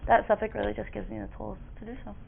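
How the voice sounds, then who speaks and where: A young woman from the Boston area talks casually as an interview guest. Her pitch is average for a woman. The sound is thin and phone-like, with faint background noise. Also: level tone, fast talker, gravelly. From Boston area, United States. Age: young adult. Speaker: student club officer, interview guest. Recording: phone-like, noisy room ambience.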